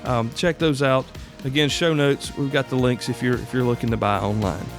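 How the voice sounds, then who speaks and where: A man's voice: medium pitch, fairly even intonation, normal speed, music in the background. An American man hosting a podcast solo, talking casually in a folksy, conversational way straight to his listeners. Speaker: podcast host.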